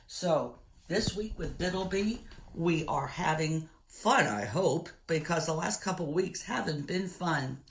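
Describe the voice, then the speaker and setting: A woman in her fifties teaching young children, giving deliberate step-by-step instructions. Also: low voice, lively intonation, poor audio. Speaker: elementary school teacher. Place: room at home.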